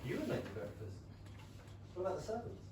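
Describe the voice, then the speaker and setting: A man playing a self-assured, drily witty young Regency gentleman in a staged comedy reading, wry and teasing. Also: sits high, wildly swooping pitch, fast. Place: small theatre stage. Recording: very echoey room, distant mic, faint noise.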